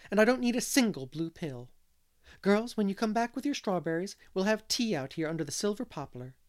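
A reader voicing a wistful gentle spinster. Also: mid-range voice, sing-song, a little fast, voice pushed up, lightly acted. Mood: delighted. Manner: wistful, sighing. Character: wistful, gentle older-ish spinster lady. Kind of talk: audiobook character reading.

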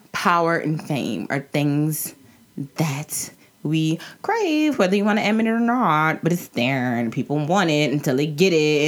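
A woman from the New Orleans area with a local accent, reviewing a rap album in a chatty, slang-filled solo podcast. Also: on the low side. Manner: chatty and animated, frequent restarts and slang.

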